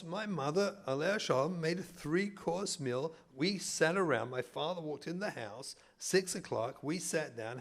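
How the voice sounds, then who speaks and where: A middle-aged man from England with an English accent, a rabbi giving a humorous talk to an audience in a community hall, telling stories with mock-indignant asides. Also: on the high side.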